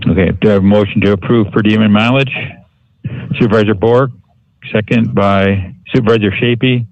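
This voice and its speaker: A man chairing a county board meeting in formal procedural language, with a somewhat halting delivery. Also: on the low side, steady tone, creaky, darkish tone, dry recording, dull audio.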